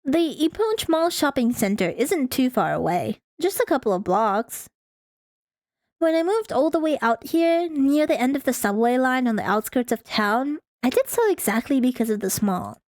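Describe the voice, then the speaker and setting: A woman's voice: sits high, very animated, moderate pace, a little mellow, dry recording, studio quality. A woman streaming a visual novel, reading the text aloud and breaking into playful, casual asides. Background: faint music.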